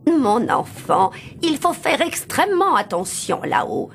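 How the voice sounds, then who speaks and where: A woman voicing a kindly, solemn old mermaid grandmother in an animated fairy tale, measured and tender. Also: a little high, gravelly, a little hiss or hum, heavily acted. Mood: solemn warning. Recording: music behind.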